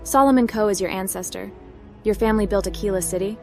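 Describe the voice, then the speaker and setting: A woman's voice: medium pitch, quick pace, mellow, faint music behind, natural voice. A woman voicing a direct, inquisitive female hacker adventurer in scripted game role-play. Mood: curious, businesslike. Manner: direct, to the point.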